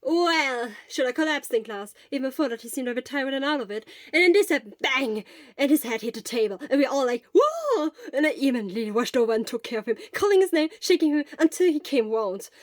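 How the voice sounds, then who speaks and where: A woman voicing an eager, loud teenage schoolboy in an audiobook-style story, talking in an excitable gush. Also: high-pitched, dry recording, clean studio sound. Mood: excited, gushing. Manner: gushing, excitable, rapid. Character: eager, loud, fast-gushing teenage boy student.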